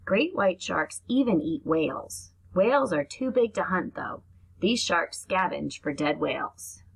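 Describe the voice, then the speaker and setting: A woman's voice: fairly low pitch, expressive, measured pace, creaky, slightly rough, low-quality recording. A woman reading aloud to young children like a teacher, explaining things and adding asides as she goes.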